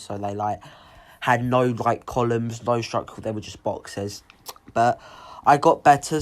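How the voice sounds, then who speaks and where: A teenage boy with a cold, sounding croaky, rambling casually with lots of ums as he talks over his own building gameplay. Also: mid-range voice. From United Kingdom. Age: teens. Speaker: gaming YouTuber.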